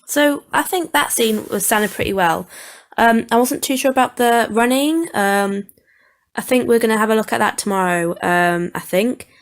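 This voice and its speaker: A young woman giving informal, hesitant commentary as a student producer reviewing an audio edit. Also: a little high. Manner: hesitant, halting, thinking aloud.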